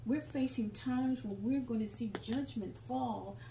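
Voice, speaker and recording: A woman's voice: fairly high pitch, a little slow, vocal fry, warm tone, slight background noise. Older woman preaching in an echoey church. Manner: fervent preaching, breaks into song, quotes scripture. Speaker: guest preacher. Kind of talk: sermon. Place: small church sanctuary, standing at a pulpit. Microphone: phone camera from the pews, far off. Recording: echoey room, far from the phone mic.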